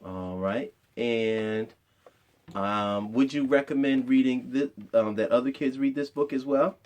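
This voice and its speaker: A man's voice: mid-range voice, unhurried, a little mellow. A man chatting casually with a child as a father and host, asking simple questions in an encouraging way. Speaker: father interviewing his child on a storytime show.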